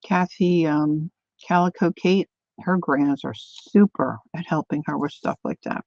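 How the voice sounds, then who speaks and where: An older woman chatting casually to viewers while she crafts, thinking out loud as she works. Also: sits low, unhurried, no room sound. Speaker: craft livestreamer. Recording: dull lo-fi dry sound.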